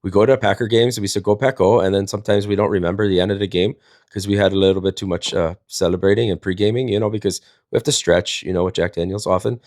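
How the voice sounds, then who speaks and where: A man's voice: lowish voice, steady tone, fast, darkish tone, no echo, studio quality. A man, telling casual comedic stories in a rambling, folksy, jokey way as a livestream host. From Wisconsin, United States.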